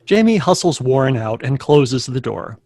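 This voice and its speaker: A man's voice: mid-range voice, big pitch swings, moderate pace, gravelly, mellow. A man serves as narrator, reading scripted stage directions aloud at a table read. His voice sits in a middle register, with a dry sound free of room echo.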